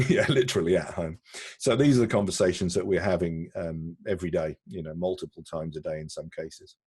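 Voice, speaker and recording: A man answering questions in a conversational video-call interview, halting and often repeating words as he explains. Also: low voice, darkish tone, breathy edge, no echo, clean studio sound. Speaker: interviewee, broadcast technology executive.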